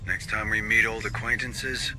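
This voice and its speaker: Low, forceful military squad leader. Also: male voice, little pitch movement, moderate pace, a little hiss or hum. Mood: measured, wary. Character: steady, dryly sardonic military squad leader, grown man. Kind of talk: video game cutscene dialogue.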